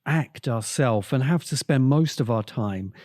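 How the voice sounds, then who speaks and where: Slow, mellow man giving measured commentary. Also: medium pitch, expressive, dry recording, studio quality. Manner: measured, deliberate, dramatic pauses mid-sentence. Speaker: podcast host, critic. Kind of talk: essay-like scripted commentary on science fiction.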